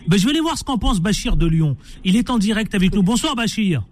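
A man's voice: sits high, sing-song, quick pace, darkish tone, slight background noise. A man hosting a live radio phone-in, interjecting to moderate callers and call them to order. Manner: interjecting, calling speakers to order.

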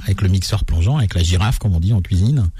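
A man hosting a casual radio chat, explaining recipes in a relaxed way with many restarts. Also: sits low, quick pace, a crowd in the background. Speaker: radio show host, cook.

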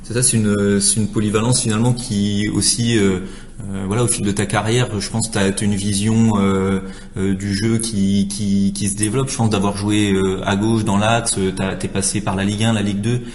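A man with a fairly low voice comments as part of a question session. His delivery is casual and informal, feeling for his phrasing as he goes. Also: little pitch movement, moderate pace, a little mellow.

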